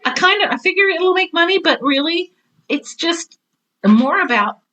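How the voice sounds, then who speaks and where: A woman in her sixties, a retired teacher, chatting casually as an interview guest in an opinionated, animated way. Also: very high voice, moderate pace, poor audio.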